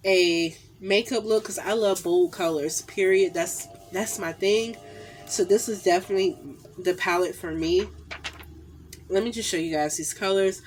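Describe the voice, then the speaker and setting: A woman in her thirties with a nasal, stuffy voice from a cold, chatting casually about makeup palettes, filling her talk with "um" and repeated "definitely", recorded on a phone held at arm's length in a small room at home. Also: on the low side. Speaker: beauty vlogger. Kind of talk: casual makeup haul review. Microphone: phone camera at arm's length.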